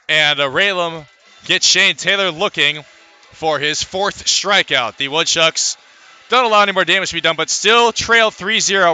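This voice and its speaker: A man calling live baseball play-by-play on radio, filling in player stats between pitches. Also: bright, forceful tone, clear, resonant tone. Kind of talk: live sports commentary.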